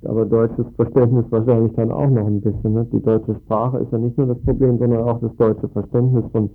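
A man with a gravelly, creaky voice at a medium pitch, on a thin narrowband radio recording. He works as the interviewer, building long, reflective questions with some hesitation in an informal discussion. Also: flat delivery, brisk, soft background music.

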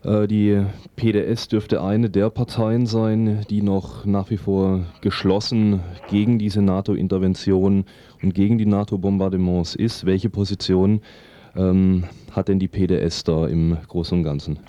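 A man's voice: deep voice, flat delivery, a little slow, warm tone, muffled recording. A man hosting a radio news magazine in an informal, somewhat hesitant way, speaking into a studio microphone. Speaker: radio host. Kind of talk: community radio news magazine presenting, partly scripted.

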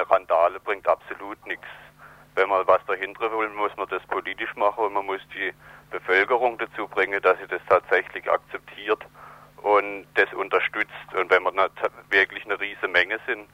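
A man's voice: deep voice, flat delivery, moderate pace. A man calling a radio phone-in by telephone, giving critical opinions in a blunt, argumentative way over a dull lo-fi line. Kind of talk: critical opinion on a live call.

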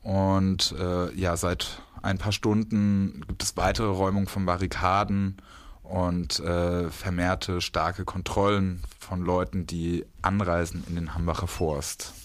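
A man hosting a radio show, delivering an informal news bulletin in a somewhat halting way. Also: deep voice, little pitch movement, no room sound.

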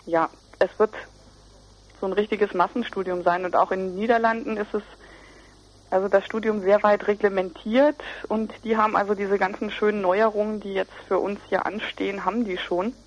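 A woman's voice: medium pitch, little pitch movement, normal speed. A young woman explaining things as an interviewed student representative, hesitant and searching for words. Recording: muffled lo-fi radio recording.

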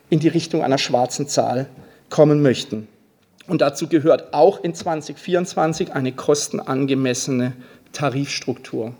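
A man's voice: on the high side, darkish tone. A man speaking as a city official, delivering a formal prepared speech.

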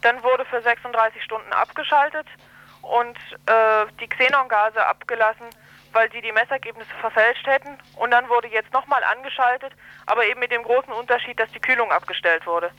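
A woman giving an unscripted explanation as a spokesperson, halting and correcting herself, recorded over a telephone line. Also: fairly high pitch, no room sound. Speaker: environmental campaign spokesperson being interviewed. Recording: dull, band-limited telephone line.

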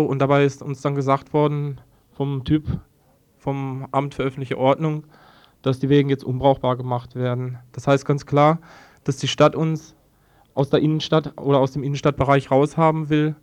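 A man giving a spontaneous first-hand account as an interviewee, haltingly and with repeated words. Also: mid-range voice, level tone, darkish tone, clear, resonant tone. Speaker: eyewitness interviewee, affected resident.

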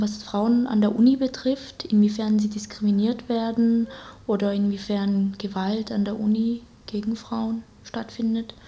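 Mellow-voiced young woman, radio guest. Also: on the high side. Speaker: interview guest, student activist. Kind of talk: casual, spontaneous interview answers.

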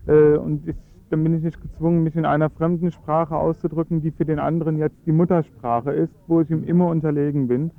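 A man's voice: on the high side, little pitch movement, fairly quick, creaky, few pauses, phone-quality sound. A man speaking German as his first language, earnestly explaining his views in a radio interview with frequent hesitations. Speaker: Esperanto advocate.

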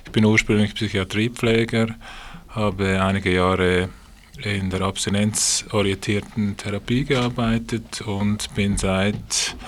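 A man with a fairly low, even voice introduces himself as a studio guest. He speaks haltingly, with faint noise behind him. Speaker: studio guest, drug project manager. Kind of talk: introducing himself in a radio interview. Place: radio studio.

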